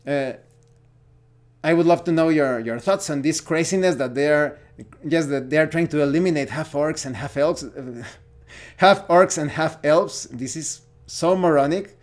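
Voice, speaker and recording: A man's voice: a little high, measured pace. A man, a Spanish speaker, giving an unscripted opinion monologue as host of a gaming channel, often searching for words. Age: 40s.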